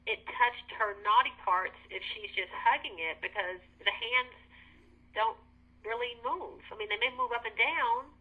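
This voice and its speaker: A woman's voice: a little high, moderate pace, slightly rough. A woman handling a customer complaint over a telephone line, polite but hesitant, often restarting her sentences. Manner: hesitant, polite, addressing caller as sir. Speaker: restaurant employee taking a complaint call. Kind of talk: customer service phone conversation.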